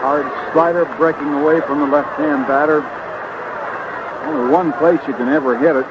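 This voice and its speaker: American guy doing baseball color commentary, conversational, muffled radio w loud noise. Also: fairly high pitch, steady tone, moderate pace. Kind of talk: conversational live analysis. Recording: dull, very noisy vintage AM radio broadcast.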